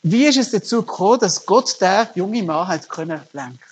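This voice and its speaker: A man's voice: high voice, animated, brisk, husky. A middle-aged man, preaching a sermon as a pastor and telling stories earnestly with emphatic rises. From Germany.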